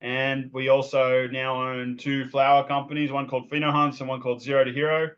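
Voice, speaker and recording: A man's voice: mid-range voice, level tone, brisk, fairly bright tone, muffled recording. A man with an Australian accent, a guest telling his story in a loose, rambling way with lots of ums and restarts. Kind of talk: casual interview talk.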